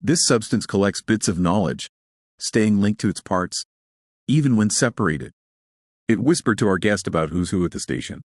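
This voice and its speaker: A man's voice: on the low side, animated, moderate pace, no echo, clean studio sound. A man voicing a jumpy, chatty male technician who explains things casually in an audio story. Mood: explaining.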